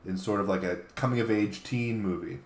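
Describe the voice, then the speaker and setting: Guy with a low voice just chatting and joking, echoey room sound. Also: fairly quick. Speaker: panelist. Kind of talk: casual joking chat. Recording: roomy, echoing sound.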